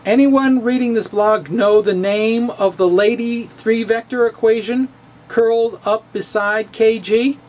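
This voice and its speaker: A man's voice: high-pitched, fairly even intonation, a little slow, darkish tone. A middle-aged man giving a comic dramatic reading of a science blog, wry and playful, laughing at his own jokes, recorded indoors on a close handheld mic. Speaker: science blogger. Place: small room with bookshelves, indoors. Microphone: handheld mic on stand, close.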